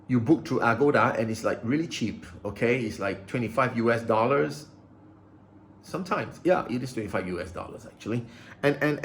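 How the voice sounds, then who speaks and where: A man's voice: medium pitch, a little hiss or hum. A man ranting into the camera about his grievances, agitated, repeating himself and stumbling over restarts. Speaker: vlogger. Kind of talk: aggrieved personal rant to camera.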